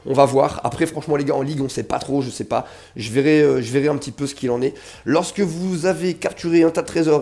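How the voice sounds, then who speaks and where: A young man with a slight cold giving casual, slangy gaming commentary to his viewers, often reading game text aloud. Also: a little high. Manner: chatty, slangy, addresses viewers as "les gars", reads game text aloud. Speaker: mobile game video creator.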